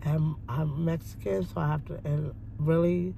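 A woman in her forties talking at length from a parked car, meandering with many ums and pauses. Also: deep voice, fairly even intonation, creaky, mellow, slight background noise. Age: 40s. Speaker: interviewee. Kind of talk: rambling personal monologue. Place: parked car by a window, daytime.

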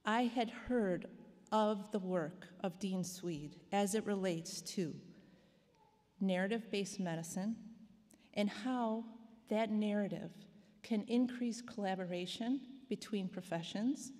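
Young woman, slow and mellow, formal tribute from the lectern, hall reverb. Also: mid-range voice. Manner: deliberate, reading from notes, stressing key words. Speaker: physician and speaker at a ceremony. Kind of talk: formal prepared speech. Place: large auditorium stage at a lectern. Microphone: lectern microphone.

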